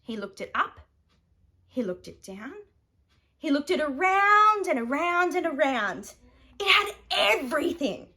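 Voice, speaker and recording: An Australian woman reading a children's picture book aloud, animated and dramatic with playful emphasis. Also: high-pitched, a little slow. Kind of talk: expressive children's bedtime story reading.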